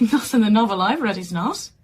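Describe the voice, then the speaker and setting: A woman's voice: medium pitch, lively intonation, measured pace, slight background noise, natural voice. A woman playing a cheeky, offhand detective's sidekick in a naturalistic radio drama. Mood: protesting.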